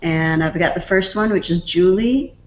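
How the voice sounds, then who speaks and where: A woman presenting a screen-recorded software tutorial, explaining each step in a relaxed way with fillers. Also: lowish voice, moderate pace, slight background noise, rough recording. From Canada.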